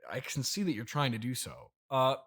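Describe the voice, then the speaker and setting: A man's voice: mid-range voice, animated, brisk, a bit bright. A man playing a defensive, self-important young CEO father hosting a comedy podcast interview, hesitant and stammering with strained patience. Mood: exasperated. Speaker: podcast host.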